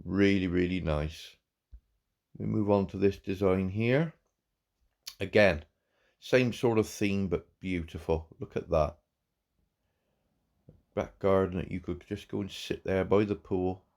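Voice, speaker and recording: A man, croaky from a cold, giving halting, warmly praising commentary on a farm game. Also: sits low. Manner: halting, repeats words, warm praise. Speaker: farm game channel host. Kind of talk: casual gameplay commentary.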